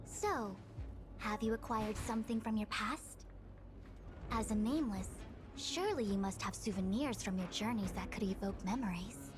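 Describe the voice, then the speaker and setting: A woman's voice: mid-range voice, moderate pace, music in the background, natural voice. A woman playing a learned, exacting diviner in a video game, speaking formally and precisely in long explanations. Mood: composed, businesslike. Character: learned, exacting female diviner, long-winded and self-assured. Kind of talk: scripted video game character dialogue.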